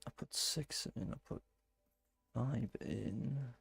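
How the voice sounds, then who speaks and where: A man's voice: medium pitch, takes it slowly, vocal fry, breathy edge, soft background music. A man giving casual live gameplay commentary, thinking aloud and exclaiming to himself as he plays. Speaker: gaming streamer playing and commenting.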